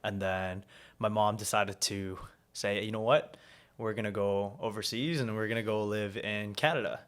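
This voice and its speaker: Low-voiced young man chatting casually. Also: moderate pace, smooth. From South Korea. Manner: relaxed, frequent "like" and "um" fillers. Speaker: podcast guest. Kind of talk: casual interview talk.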